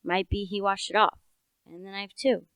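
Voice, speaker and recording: A woman's voice: medium pitch, fairly even intonation, moderate pace, a bit bright, clean tone, no room sound, lightly acted. A teenage female actor playing an opinionated, suspicious rustic village constable in a radio play, folksy and insistent. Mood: excited, certain.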